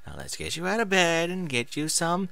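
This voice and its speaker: Guy doing a stern older royal pony aunt, disapproving n lecturing, lively pitch, dry close sound, fantasy story. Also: moderate pace, gravelly, clear, resonant tone, no room sound, heavily acted. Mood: firm, coaxing.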